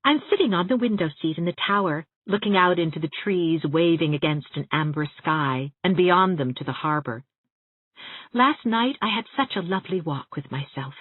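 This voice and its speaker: A woman voicing a spirited, affectionate young schoolteacher in an audiobook of her letters, with an expressive, whimsical delivery. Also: fairly low pitch, normal speed, husky. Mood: chatty, contented. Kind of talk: audiobook reading of first-person letters. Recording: boxy room echo, muffled narrow-band sound.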